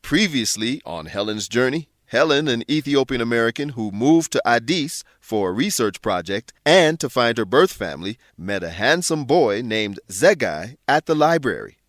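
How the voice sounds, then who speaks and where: A man's voice: mid-range voice, expressive, normal speed, hardly any pauses, dry recording, clean studio sound. A man narrating a radio drama from a script, welcoming listeners in a storytelling manner.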